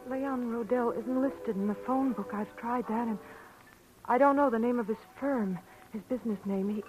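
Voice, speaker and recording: An actress playing a nervous, hesitant woman client in an old radio detective drama, halting and repeating herself. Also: on the high side, lightly acted. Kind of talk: 1940s radio acting. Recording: dull old radio recording.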